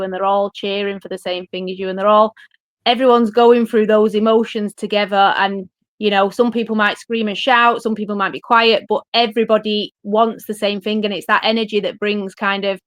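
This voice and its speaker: A woman's voice: mid-range voice, no echo. A woman guest in a casual podcast interview, speaking thoughtfully and earnestly about supporting her club.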